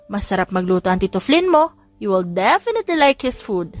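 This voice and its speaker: A woman voicing a bubbly, excitable young aunt in an audiobook, gushing and exclaiming as she mixes English into Tagalog. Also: mid-range voice, a little fast, dry recording, soft background music, lightly acted. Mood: cheerful. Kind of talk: audiobook character voice.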